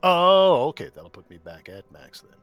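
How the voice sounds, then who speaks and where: A man's voice: on the high side, very animated, moderate pace, a bit bright, dry recording, faint music behind. A man chatting casually as a tabletop game player, hesitant as he thinks aloud.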